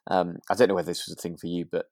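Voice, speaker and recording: A man's voice: low voice, flat delivery, very fast, a little mellow, no room sound, studio quality. A British man co-hosting a podcast, chatting casually in a hesitant, meandering way. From United Kingdom. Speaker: podcast co-host. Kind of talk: casual chat.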